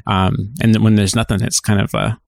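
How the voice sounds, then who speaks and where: A man's voice: on the low side, level tone, creaky, darkish tone, no room sound. Reflective man chatting on a podcast, dry sound. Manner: halting, reflective, circling back on phrases. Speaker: podcast co-host. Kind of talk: casual discussion about podcasting.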